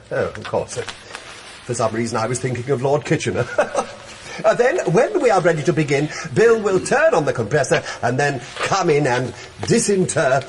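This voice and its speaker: Guy doing a pompous wordy gravedigger boss, high swoopy voice, radio drama, noisy background. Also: animated, moderate pace, close to an everyday voice. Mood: pompous, jovial. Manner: theatrical, wordy, pompous, given to chuckling. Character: florid, jovial, pompous gravedigger boss who runs exhumations.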